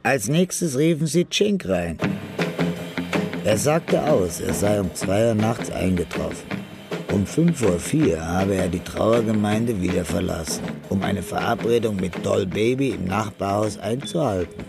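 A man narrating a scripted radio crime drama in a measured, matter-of-fact way. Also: lowish voice, animated, gravelly, over loud music.

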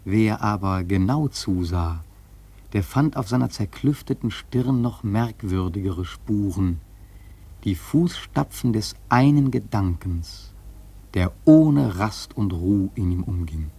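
A grave seafarer telling his tale in a literary radio play. His voice is fairly low and husky. The delivery is solemn, with dramatic emphasis. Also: animated, slow, dark tone, heavily acted. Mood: solemn, awed. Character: grave, awed sailor recounting his voyage. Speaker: narrator.

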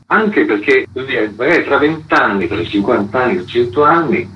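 A man talking earnestly as an interview guest, over a dull radio link with some noise. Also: lowish voice. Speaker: interview guest, event organiser.

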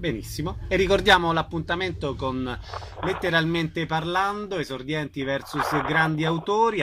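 A man's voice: on the high side, sharp tone. A man hosting a radio interview and putting questions to a guest.

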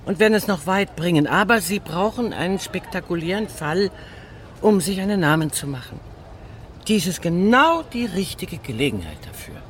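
A woman's voice: lowish voice, lively intonation, normal speed, slight background noise, close to an everyday voice. An actress playing a worldly, authoritative older woman who leads an expedition in a German radio play. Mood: firm, decisive. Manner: authoritative, decisive.

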